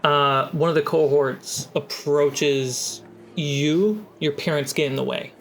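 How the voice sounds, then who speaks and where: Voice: mid-range voice, slow pace. Easygoing game master narrating a scene. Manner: relaxed, descriptive, prompting players. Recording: faint music behind.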